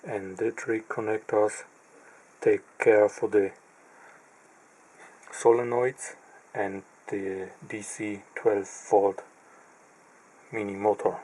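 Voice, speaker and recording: Slow, halting low-voiced man. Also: flat delivery, mellow, slightly rough, low-quality recording. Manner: halting, pauses mid-phrase while pointing at parts. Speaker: electronics repair hobbyist. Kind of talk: slow, methodical narrated teardown.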